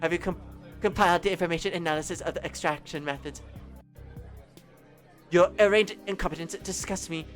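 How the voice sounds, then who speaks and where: A man's voice: a little high, fairly even intonation, fairly quick, pauses often, a bit bright, faint music behind, lightly acted. A man reading the lines of a small, furious young woman who acts as a stiff, condescending superior. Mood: stern. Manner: stiff, formal, condescending wording. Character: tiny, furious, pompous young female superior.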